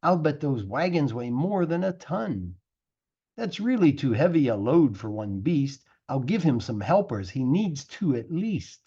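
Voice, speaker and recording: A man reading a rhyming picture book aloud as a teacher would, with animated, theatrical delivery and drawn-out words. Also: fairly high pitch, slightly rough, lo-fi sound. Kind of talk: expressive rhyming storybook narration.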